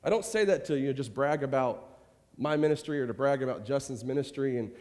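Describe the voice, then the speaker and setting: A man's voice: mid-range voice, fast talker. A man preaching to his church while nursing a cold, speaking in a loose, rambling way full of fillers. His voice sits in the middle range for a man. It rings out with the long tail of a large sanctuary. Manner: warm rambling talk with many fillers and repeated "I tell you". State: has a cold, occasional cough. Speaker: pastor. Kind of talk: informal church address. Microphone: handheld or stage microphone. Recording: reverberant church hall.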